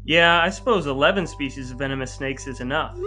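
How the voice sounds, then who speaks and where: A man's voice: fairly high pitch, a little fast, over music. A middle-aged American man hosting a podcast, speaking in a scripted, narrating style. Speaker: podcast host.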